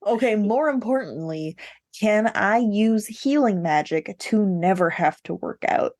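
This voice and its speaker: A woman's voice: medium pitch, expressive, measured pace, a little mellow, dry recording, clean studio sound. A woman co-hosting a podcast, chatting casually about books in a playful, emphatic way. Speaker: podcast co-host discussing books. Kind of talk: casual book chat.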